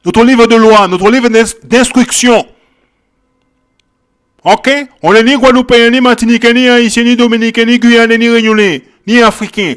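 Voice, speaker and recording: High-voiced man preaching emphatically. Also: fairly even intonation, normal speed, clean tone. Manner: emphatic, repeats phrases, checks in with "ok?" and "hein?"; shifts from quiet verse reading to loud exhortation. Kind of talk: Bible teaching sermon.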